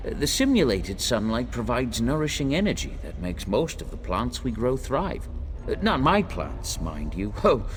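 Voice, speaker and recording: Man as a grumbling apprentice, animated pitch. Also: normal speed, faint music behind. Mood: resigned, wry. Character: grumbling, hapless male apprentice gardener in a fantasy world. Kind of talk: video game quest dialogue.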